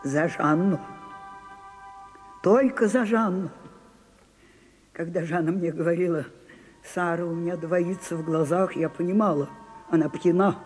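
An older actress in a radio play playing an aging, bitter stage star who speaks in a slow, brooding, confessional way. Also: female voice, medium pitch, darkish tone, close to an everyday voice. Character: aging famous actress, bitter and self-searching. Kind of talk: 1980s radio drama acting.

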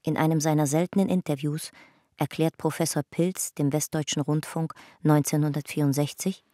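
Calm low-voiced woman narrator. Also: moderate pace, no echo, studio quality. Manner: calm, factual. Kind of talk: scripted narration.

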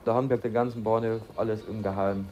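A man's voice: fairly low pitch, fairly even intonation, fast, warm tone. A man giving a blunt, colloquial, matter-of-fact personal account. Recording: muffled documentary tape with background noise.

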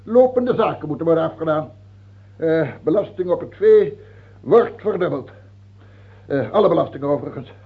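Capricious tyrant king in radio drama: gravelly, animated, taunting and imperious, with a narrow, muffled old-recording sound. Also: a little high, normal speed, pauses often. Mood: mocking, imperious. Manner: imperious, taunting, hesitating then outbursting. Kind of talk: theatrical radio drama acting.

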